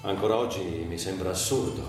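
A grieving, reflective man played by a male actor delivers an intimate theatre monologue in a deep voice. His phrases come halting and broken. The sound is distant and heavily reverberant, like a stage heard from afar. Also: over music, natural voice. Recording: very echoey distant stage recording, faint noise.

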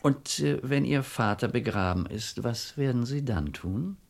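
An actor playing a wary, easily exasperated police commissioner who questions in a guarded official way in a radio crime drama. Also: male voice, mid-range voice, expressive, unhurried, creaky.

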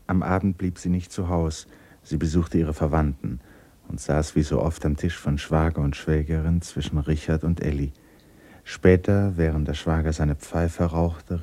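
A man giving composed, measured literary narration as the storyteller of a 1960s German radio play. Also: extremely low pitch, mellow, husky.

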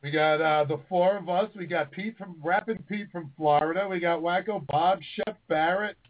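A man hosting a casual call-in show, loud and jokey with his callers. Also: sits high, normal speed. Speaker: host. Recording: tinny phone-like sound.

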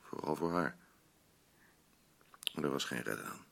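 An actor playing a bitter, defensive, grieving middle-aged husband in a naturalistic radio play, blunt and grumbling, with a gravelly voice. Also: male voice, very deep voice, unhurried, a bit bright, heavily acted. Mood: confessional. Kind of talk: naturalistic radio drama acting.

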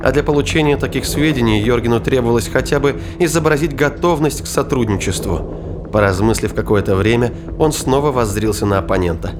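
A man's voice: mid-range voice, brisk. A man giving scripted dramatic narration as the narrator of an audio play. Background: music.

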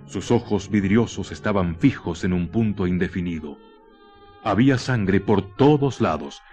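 A man narrating a scripted radio horror drama in a grave, dramatic manner with deliberate pauses. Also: on the low side, darkish tone.

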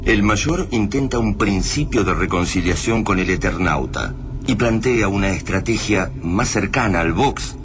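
An actor with an Argentine accent narrating a grim invasion saga as a scripted radio drama in a solemn way. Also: male voice, lowish voice, lively intonation, a little slow, noisy background.

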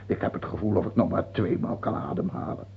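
A man's voice: lowish voice, fairly even intonation, fairly quick, gravelly, husky, exaggerated voice. An actor in a radio play playing a dying old king, theatrical, imperious and afraid, swinging from pleading to commanding. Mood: weary, gasping. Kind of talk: Dutch radio play acting. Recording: tinny, band-limited old radio recording, faint hiss.

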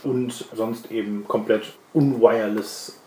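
A man's voice: lowish voice, fairly even intonation, takes it slowly, background noise. Male game master in an echoey room. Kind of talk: narrating a tabletop role-playing game. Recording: echoey room with music underneath. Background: music.

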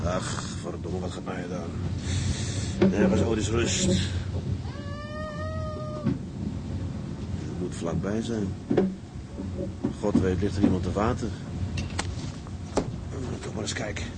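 An actor playing a hearty, grumbling seaman who talks to himself in a radio play. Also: medium pitch. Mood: uneasy, grumbling. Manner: talks to himself, muttering and exclaiming. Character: hearty, grumbling seaman alone aboard at night. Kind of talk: Dutch radio play acting with monologue. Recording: noisy, with boat and water sound effects.

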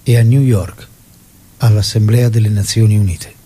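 An actor playing the solemn narrator of a revolutionary's life, in dramatic scripted style. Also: male voice, fairly low pitch, steady tone, normal speed, warm tone, husky.

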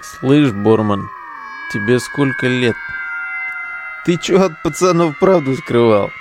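An actor in a modern audio drama playing a foul-mouthed, mocking relic digger who talks in coarse slang. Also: male voice, mid-range voice, slow, background noise, lightly acted. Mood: jeering amusement. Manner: slangy, coarse, jeering banter.

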